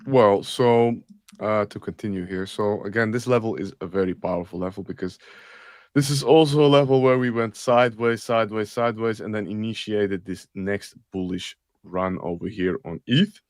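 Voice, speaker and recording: A man's voice: on the low side, normal speed, darkish tone, no room sound, studio quality. A man with an Austrian accent, hosting a live crypto stream and talking through charts with frequent fillers. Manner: rambling, thinks aloud with fillers. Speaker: crypto show host.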